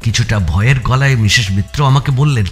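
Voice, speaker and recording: A man narrating a suspense thriller in a dramatic, expressive audio story style, with music behind him. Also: medium pitch, a little fast. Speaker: narrator reading a first-person thriller.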